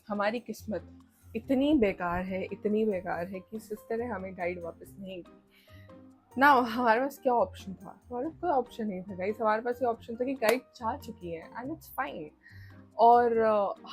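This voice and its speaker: A woman's voice: mid-range voice, a little mellow. A young woman with an Indian accent telling a personal story in a casual, chatty way, mixing in English and repeating phrases for emphasis. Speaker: storytime vlogger.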